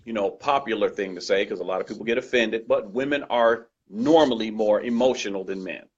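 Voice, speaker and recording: A man with a loud voice speaking earnestly and emphatically as a guest in a conversational discussion, often repeating his points. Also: on the low side, brisk, slightly rough. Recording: dull lo-fi recording.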